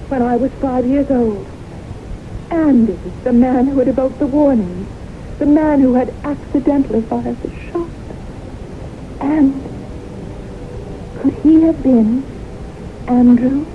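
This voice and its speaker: An actress playing a reserved, haunted middle-aged secretary recounting her life in measured, grave radio drama style. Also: fairly high pitch. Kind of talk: 1970s radio drama acting, narration and dialogue. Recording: noisy muffled old radio broadcast recording.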